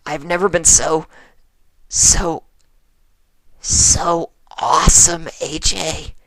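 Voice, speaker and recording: A performer voicing a brash but flustered young female pegasus pony from a cartoon fan story, stammering and earnest, with a voice that cracks and turns breathy. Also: mid-range voice, fairly even intonation, very slow, fairly bright tone, no room sound, voice pushed up. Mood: overwhelmed.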